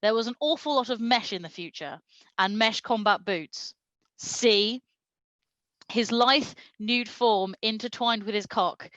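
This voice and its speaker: A woman's voice: on the high side, a little slow, fairly bright tone, dry recording, lo-fi sound. A woman doing a comedic reading of fan fiction aloud in a mock-serious manner, prone to breaking into laughter. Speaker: reader at a comedy show.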